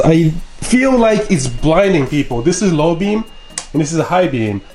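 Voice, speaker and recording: A man's voice: fairly high pitch, a little mellow. A young man with a stuffy nose from a cold, chatting casually as he explains a motorcycle install step by step in his garage. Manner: chatty, explains steps as he works. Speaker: motorcycle vlogger. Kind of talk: casual how-to vlog. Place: home garage.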